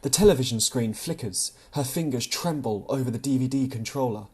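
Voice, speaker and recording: A man narrating a novel as an audiobook, dramatically, in short deliberate phrases. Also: medium pitch, darkish tone, breathy edge. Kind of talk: scripted literary narration.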